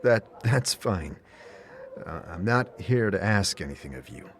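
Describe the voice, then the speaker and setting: A man's voice: sits low, lively intonation, measured pace, a little hiss or hum. A man voicing a scarred wanderer in a fan audio drama, polite and hesitant, often stammering.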